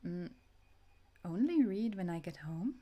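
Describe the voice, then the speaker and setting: Slow, soft-voiced tender girlfriend, acted by a woman. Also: lowish voice, very animated. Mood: concerned, affectionate. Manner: soft, breathy, often dropping toward a whisper. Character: tender, wistful time-traveling girlfriend speaking to her beloved. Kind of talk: intimate romantic audio roleplay, ASMR acting.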